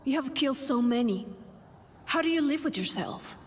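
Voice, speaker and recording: A woman's voice: high-pitched, expressive, moderate pace. An actress playing a guarded, brooding, blunt young warrior woman in a video game. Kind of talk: video game character dialogue. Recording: faint game ambience noise.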